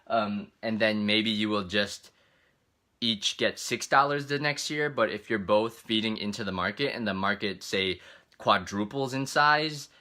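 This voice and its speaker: Guy doing a casual travel q&a stream, bright forward voice, a bit low, kinda rambling. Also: unhurried. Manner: informal, rambling, thinking aloud. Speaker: travel video host. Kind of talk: casual livestream Q&A, answering read-out comments.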